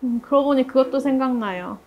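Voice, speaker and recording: A woman leading a casual group discussion, chatty and telling anecdotes in an animated way. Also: high voice, fast talker, dull audio. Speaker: interviewer and project member leading the discussion.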